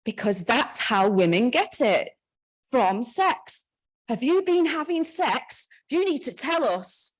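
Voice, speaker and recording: Brief: blunt, accusing older mother, dry humour, voice pushed higher , read by a woman. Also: very animated, slow, exaggerated voice.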